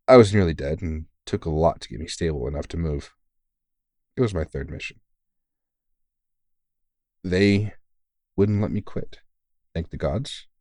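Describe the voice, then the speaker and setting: A man's voice: sits low, darkish tone, dry recording, clean studio sound. A man playing a big veteran vampire hunter in an intimate audio roleplay, talking bluntly and sweary, yet earnest and reassuring. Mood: candid, wry. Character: big, gruff but caring veteran male vampire hunter, mentoring.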